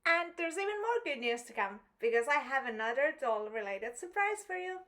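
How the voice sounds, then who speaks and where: Young woman, really high voice, bubbly vlog voice-over, says really really a lot. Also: sing-song, a little fast, bright, forceful tone. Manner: bubbly, repeats "really" for emphasis. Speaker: vlogger.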